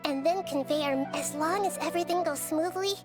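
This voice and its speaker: A gentle, childlike young goddess from a video game, played by a woman. The voice is high and measured, explaining things calmly and wisely, over clear background music. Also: lightly acted.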